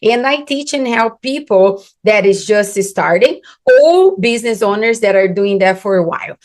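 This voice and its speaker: A woman with a Brazilian Portuguese accent talks straight to the camera as a business coach, earnest and emphatic. Her voice sits at an ordinary middle pitch. Her English carries small non-native slips, and she leans hard on the points she wants to land. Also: animated, moderate pace, a little mellow. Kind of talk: instructional talk to camera.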